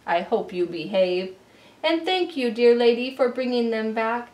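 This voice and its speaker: A woman's voice: on the high side, a little slow, muffled recording, lightly acted. A woman voicing a kindly, sentimental old lady from a storybook, speaking earnestly in rhyme. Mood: overjoyed. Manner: gentle, earnest, rhyming speech. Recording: roomy, distant camera recording.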